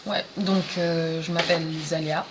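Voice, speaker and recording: A woman's voice: lowish voice, steady tone, unhurried, over loud music, low-quality recording. A woman, answering interview questions casually and hesitantly, with many fillers and restarts. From France, Paris suburbs. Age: 20s. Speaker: interview guest.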